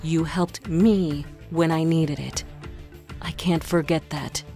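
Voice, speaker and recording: Woman acting a lower-voiced, weary, cautious noblewoman adviser. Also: fairly even intonation, slow pace, dark tone, faint music behind. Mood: exasperated, insistent. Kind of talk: audio drama fantasy acting.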